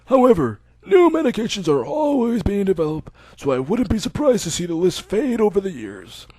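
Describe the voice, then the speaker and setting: A gently formal older doctor, as a man puts him on, speaking in a measured, reassuring bedside tone. The voice sits a little higher than the reader's own and swings freely in pitch. It is recorded close and dry. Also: big pitch swings, husky, no room sound, exaggerated voice. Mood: calm.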